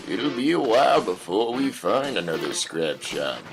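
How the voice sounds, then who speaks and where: A man's voice: lowish voice, very animated, normal speed, over music. A man playing a weary, cautious, folksy drifter father in an audio drama, speaking with a solemn drawl. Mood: weary, patient.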